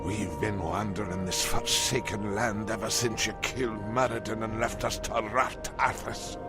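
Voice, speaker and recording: An actor playing a bitter, defiant dwarf survivor in a video game, forceful and accusing. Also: male voice, fairly low pitch, steady tone, normal speed, natural voice. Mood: bitter defiance. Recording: voice under loud game music.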